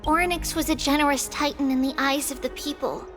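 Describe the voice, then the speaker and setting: A woman voicing a small, wise, girlish oracle with a measured, earnest manner in a video game. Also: high voice, steady tone, fairly bright tone, soft background music. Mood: solemn.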